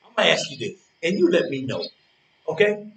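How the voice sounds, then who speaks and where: A man's voice: fairly high pitch, wildly swooping pitch, brisk, gravelly, fairly bright tone, echoey room, distant mic, a little hiss or hum, exaggerated voice. A man playing a grumpy, defensive character in a stage play, bickering with a rival in a combative, dismissive, colloquial way. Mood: irritated, dismissive.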